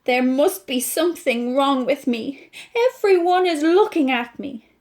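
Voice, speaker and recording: A woman's voice: sits high, measured pace, a little mellow. A woman with an Irish accent voicing an anxious, gloomy male monkey who complains in a whiny, fretful way.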